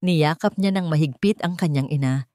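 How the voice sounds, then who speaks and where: A woman's voice: deep voice, moderate pace, dark tone, no echo, clean studio sound. A woman narrating a scripted dramatic story as its storyteller.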